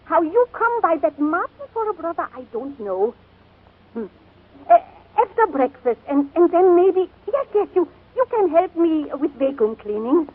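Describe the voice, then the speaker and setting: A blunt foreign cook in a 1940s radio comedy, grumpy yet kind underneath. She speaks clipped broken English in a high, swooping voice on a tinny old recording. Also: sing-song, moderate pace. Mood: softened, friendly.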